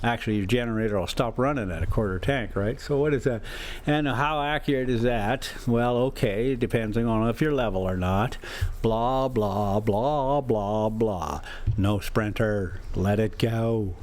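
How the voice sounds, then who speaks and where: A man's voice: fairly low pitch, measured pace, a little mellow. An American man chatting casually as a travel vlogger on a drive, rambling in a folksy, teasing, sarcastic way. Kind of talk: casual rambling chat and ranting.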